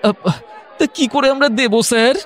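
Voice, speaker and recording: A man's voice: very high-pitched, moderate pace, fairly bright tone, a faint crowd. A man playing a meek, earnest tradesman in a comic radio drama, explaining himself hesitantly and deferentially. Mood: anxious explaining.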